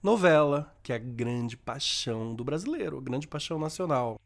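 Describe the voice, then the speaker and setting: A man's voice: mid-range voice, expressive, moderate pace. A Brazilian man co-hosting a podcast, chatting in a playful, camp way and laughing often. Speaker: podcast co-host. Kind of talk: campy casual chat.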